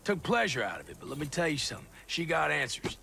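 A cocky, menacing American soldier from a video game cinematic. His voice sits fairly high yet crackles with gravel, and he drawls his profane taunts with contempt. Faint noise sits behind him. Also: very animated, fast talker, sharp tone, full character voice. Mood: contemptuous, taunting.

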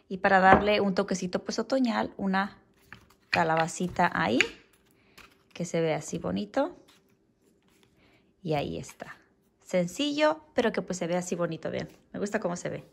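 Home-decor vlogger, woman, relaxed and chatty, lots of little fillers, slight fry in the voice. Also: mid-range voice. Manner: chatty, full of diminutives and fillers. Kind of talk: casual how-to chat. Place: at home indoors.

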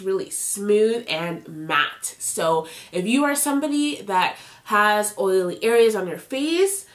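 A young woman speaks close to the camera in a casual beauty vlog, her voice thick and nasal from congestion. She keeps it chatty and upbeat, sprinkling in fillers as she walks through each product. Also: mid-range voice. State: congested, nasal from a sinus infection. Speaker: beauty vlogger. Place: indoor home filming setup. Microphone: camera at close range, facing the camera.

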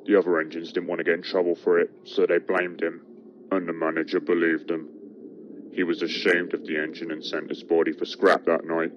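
Male actor as grim talking engine for horror game; very deep, deliberate, ominous; noisy recording. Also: little pitch movement, muffled recording, close to an everyday voice. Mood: ominous storytelling. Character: grim older steam engine telling a dark tale. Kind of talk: slow dramatic horror storytelling.

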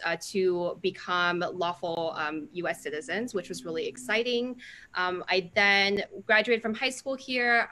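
A young woman from France whose first language is Mandarin joins a video call from her living room. Her voice is bright and cheerful, with frequent "um"s. She answers as a lawyer and activist guest. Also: medium pitch. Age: young adult. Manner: cheerful, with many "um"s. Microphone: video call webcam.

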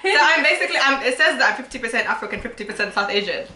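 A young woman chatting casually and excitably as a vlogger, often laughing and exclaiming. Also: a little high, over a noisy crowd. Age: young adult. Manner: giggly, excitable, quick to exclaim. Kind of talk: casual chat. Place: indoor bedroom, seated on sofa against wall. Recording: roomy echo with background music.

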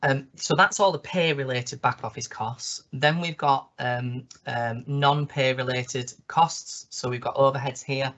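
A slightly hoarse man, walking through a spreadsheet on a screen share with many ums and restarts. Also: medium pitch, fairly even intonation, unhurried, few pauses, a bit bright, highs cut off. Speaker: consultant presenting a toolkit.

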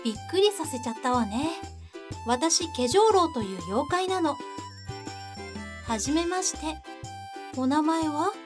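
Woman as a high-voiced gentle courtesan yokai. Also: expressive, unhurried, pauses often, music in the background. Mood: gentle, welcoming. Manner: soothing, polite feminine speech. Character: gentle big-sisterly long-haired female courtesan yokai. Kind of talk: Japanese anime-style voice drama.

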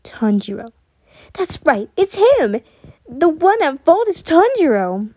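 A jealous, lovesick young man, played by a woman, ranting in a high, soft-toned voice with dramatic emotion. The story character sounds unhinged and obsessive, recorded close and dry. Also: slow pace, dry recording. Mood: furious.

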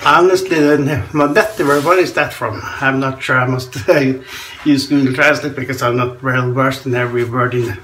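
A man's voice: medium pitch, fairly even intonation, moderate pace, noisy background. A man in his forties from Norway with a Norwegian accent, chatting casually in a small bathroom while he shaves, chuckling often between remarks. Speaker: shaving vlogger. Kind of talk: casual chat while shaving. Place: small tiled bathroom indoors. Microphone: camera in front at close range.

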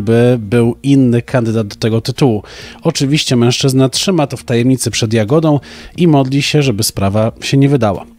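A man's voice: medium pitch, a little mellow. A young man co-hosting a podcast, presenting a prepared book review. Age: young adult. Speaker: podcast co-host reviewing books. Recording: music playing behind the voice. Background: background music.

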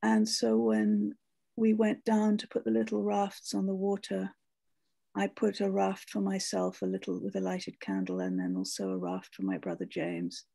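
A woman telling a personal memory in a measured, sombre way over a video call. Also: mid-range voice, vocal fry, warm tone. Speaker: former member of a women's arts colony.

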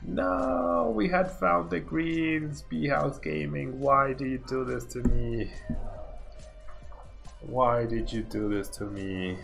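A man's voice: medium pitch, expressive, slow pace, creaky, fairly bright tone, soft background music. A man of thirty-one doing casual, slangy livestream game commentary, laid-back and often repeating his phrases. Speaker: game streamer.

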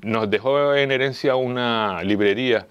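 A fifty-year-old man with a Canarian Spanish accent, explaining things as an interview guest, haltingly, searching for words and repeating phrases. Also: mid-range voice, clear, resonant tone, lo-fi sound. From Las Palmas, Canary Islands. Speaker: interview guest, local police officer and heritage enthusiast. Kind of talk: interview answers, explanatory talk.

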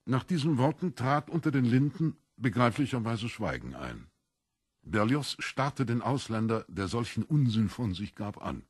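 A man narrating a literary story from a script in a measured storytelling manner. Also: mid-range voice, big pitch swings, husky, no echo, studio quality.

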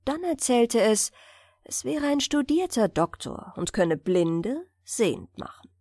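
A woman narrating a fairy tale audiobook as a bedtime story, expressive and clearly enunciated. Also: fairly high pitch, takes it slowly, no room sound, studio quality.

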